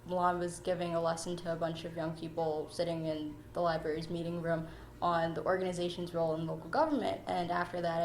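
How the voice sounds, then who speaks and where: A nineteen-year-old woman from New York City giving a personal interview as an officer of a youth organization. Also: on the low side. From New York City, United States. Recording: echoey room with faint noise.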